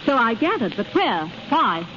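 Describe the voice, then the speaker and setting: An actress playing a sharp-tongued, protective female friend, brusque and indignant, in a 1930s radio drama. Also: fairly high pitch, sing-song, normal speed. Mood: scolding. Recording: dull, noisy 1930s radio recording.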